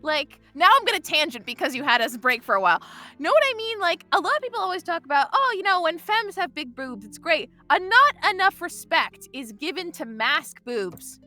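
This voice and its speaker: A woman's voice: big pitch swings, moderate pace, few pauses, pushed and bright, faint music behind. A young woman player chatting casually between game scenes, giggly and rambling, swearing freely. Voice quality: lower speaking voice, mezzo.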